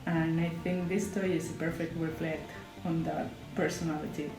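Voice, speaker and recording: A woman from Barcelona, describing her favorite toy in a measured way. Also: low voice, little pitch movement, a little mellow, soft background music. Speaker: toy collector. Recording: echoey room.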